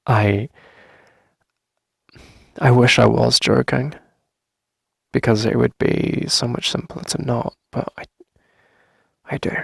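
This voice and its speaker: Shy, lovestruck male cafe owner played by a man for ASMR roleplay: hesitant, soft-spoken, heavy vocal fry, very breathy husk. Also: mid-range voice, steady tone, takes it slowly, pauses often, no room sound, clean studio sound, full character voice. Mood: shy, earnest. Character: shy, smitten young male coffee shop owner confessing feelings.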